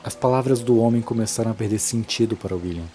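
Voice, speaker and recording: A man's voice: on the low side, fast talker, mellow, slight background noise. A man giving a solemn, dramatic scripted narration for a horror audio drama.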